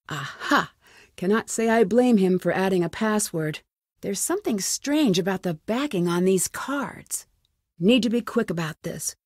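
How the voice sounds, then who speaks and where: A curious young American sleuth acted by a woman from an adventure game. She thinks aloud in short, wry, plucky remarks. The voice sits at a medium pitch and is recorded dry and close. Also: a little mellow, no echo, lightly acted. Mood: determined. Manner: wry, self-talking, crisp short remarks. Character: curious, plucky young female amateur detective. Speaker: voice actor in a detective adventure game. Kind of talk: video game character lines.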